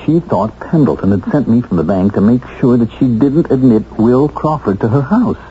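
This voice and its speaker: An American actor playing an earnest husband determined to clear his name in a 1970s radio drama, speaking deliberately with weighted pauses. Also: medium pitch, animated, close to an everyday voice. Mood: intent, suspicious. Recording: muffled, noisy 1970s radio broadcast.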